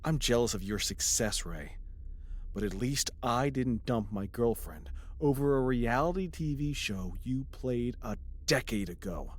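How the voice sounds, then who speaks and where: An actor playing a skeptical, teasing podcast host who is sarcastic and probing, in a natural chatty audio drama style. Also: male voice, medium pitch, expressive, a little slow. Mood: bitter sarcasm.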